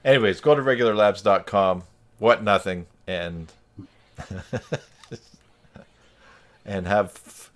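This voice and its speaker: A man's voice: on the low side, takes it slowly, pauses often, fairly bright tone. A man chatting casually on an online video call, in a slightly hesitant, rambling way. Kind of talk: casual video call chat about web tools.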